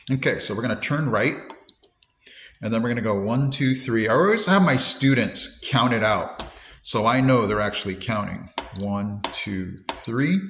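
A man's voice: medium pitch, fairly bright tone. A man in his fifties, an elementary teacher hosting an upbeat classroom robot demo, counting steps aloud, recorded on a phone held at arm's length. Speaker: elementary teacher, video host. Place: classroom, indoors. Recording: echoey classroom.

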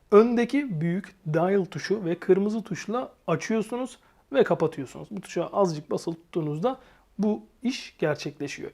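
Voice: mid-range voice, warm tone. A reviewer giving a casual, explanatory product review and addressing viewers informally. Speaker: motorcycle gear reviewer and channel host.

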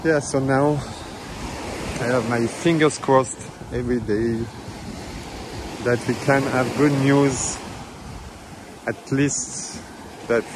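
Chatty man on a windy beach. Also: mid-range voice. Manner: chatty, non-native phrasing, fillers. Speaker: travel vlogger. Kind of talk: casual walking-tour commentary. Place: outdoors on a tropical beach. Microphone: handheld phone camera. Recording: outdoor phone audio with surf noise. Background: waves and wind.

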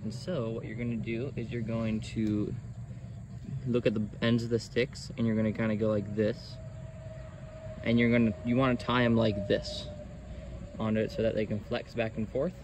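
A man's voice: on the low side, level tone, normal speed, noisy background. A young man giving a casual, loosely worded how-to demonstration as a series host, recorded outdoors on a camera's built-in microphone. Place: outdoors in a wooded park area.

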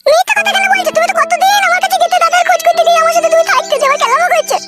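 A performer voicing a shrill, scolding, indignant young village woman in a comic cartoon, with a rural West Bengal accent. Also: very fast, loud music behind the voice. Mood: scolding, shocked. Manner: scolding, accusing. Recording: noticeable background noise.

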